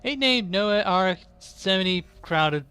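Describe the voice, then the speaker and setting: A man's voice: high-pitched, animated, takes it slowly, sharp tone, smooth. A man casually commenting over his video game playthrough, goofy and playful, humming and making silly noises. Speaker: gameplay commentator. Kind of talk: casual let's-play commentary.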